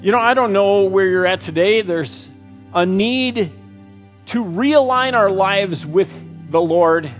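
A middle-aged man preaching a sermon and leading a closing prayer, slow and earnest with frequent pauses, on a headset mic. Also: high-pitched. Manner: slow, earnest, pausing often. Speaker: pastor. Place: church stage, indoors. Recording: dull church recording with long reverb.

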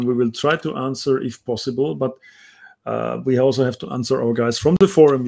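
A man's voice: mid-range voice, a little mellow. Austrian-accented man, middle-aged, chatty co-host, video call from a home room with bookshelves, earbud mic. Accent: Austrian German. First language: German. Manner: chatty, many um fillers, reads names out carefully. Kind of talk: casual video call chat.

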